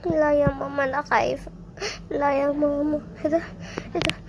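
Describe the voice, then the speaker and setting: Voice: very high voice, steady tone, moderate pace, noisy background. A young performer voicing a terrified girl trapped in an earthquake, sobbing and pleading in an Egyptian accent. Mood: frightened. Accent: Egyptian Arabic. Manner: sobbing, pleading, repeating herself.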